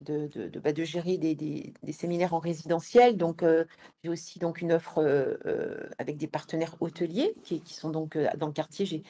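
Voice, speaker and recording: A woman being interviewed as a guest, speaking conversationally and haltingly, often repeating words as she builds her answers. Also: low-pitched, steady tone, warm tone. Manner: hesitant, halting delivery with many repeated words. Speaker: interview guest, founder and manager of an event venue.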